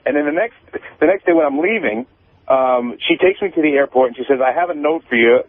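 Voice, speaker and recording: A man's voice: fairly high pitch, fast, no room sound. A man calling into a radio show over a phone, casually telling personal stories in a rambling, profane, repetitive way. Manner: rambling, profane, repeats himself. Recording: tinny phone line.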